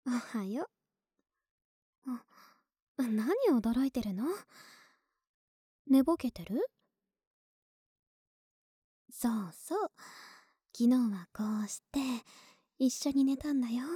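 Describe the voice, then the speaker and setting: Woman acting soft, breathy, high-voiced affectionate young neighbor. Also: dry recording, clean studio sound, full character voice. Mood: gently teasing. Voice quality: soft, breathy, often whispering. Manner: intimate, affectionate, hesitant and coaxing. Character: clingy, sweet young woman neighbor sharing a bed. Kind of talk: Japanese ASMR situation voice drama. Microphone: close, dry ASMR microphone.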